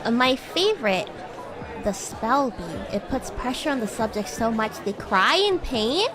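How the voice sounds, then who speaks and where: High-pitched bratty demon schoolgirl, voiced by a woman. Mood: puzzled, animated. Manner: teasing, giggly, animated, halting and questioning. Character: bratty, playful young female demon schoolgirl. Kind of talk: improvised in-character livestream roleplay chat. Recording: music and crowd murmur behind. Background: music and crowd ambience.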